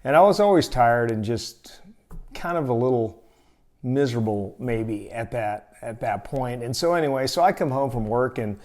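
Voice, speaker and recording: An American man telling a personal story in a casual, folksy, expressive and conversational way as a storytelling host. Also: mid-range voice, normal speed.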